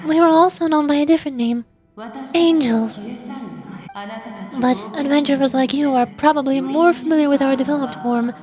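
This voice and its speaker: Solemn high-voiced ancient spirit played by a woman. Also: over music, close to an everyday voice. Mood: solemn, explanatory. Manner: formal, measured. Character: solemn ancient angelic spirit, lord of the night. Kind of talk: scripted game dialogue.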